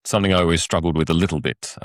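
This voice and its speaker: Very deep-voiced male interviewer. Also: dry recording, studio quality. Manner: measured, slightly hesitant questions. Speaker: podcast host. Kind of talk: interview questions.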